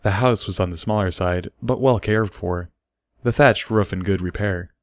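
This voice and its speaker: A man's voice: on the low side, normal speed, no echo, studio quality. A man reading a scripted story aloud as its narrator. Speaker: story reader.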